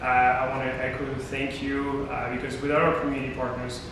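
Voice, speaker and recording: Man, French accent, halting talk full of fillers, flat delivery, distant and echoey. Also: mid-range voice. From French-speaking. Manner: halting, many "uh" fillers, laughs at himself. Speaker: charity communications manager. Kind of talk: informal talk to a members' group. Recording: distant mic in an echoey room with steady background noise.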